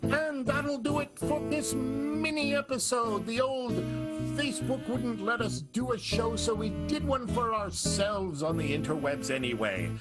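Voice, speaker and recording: A man's voice: very high voice, animated, measured pace. A man announcing a jokey radio show sign-off in a theatrical manner, over guitar music. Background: loud guitar music.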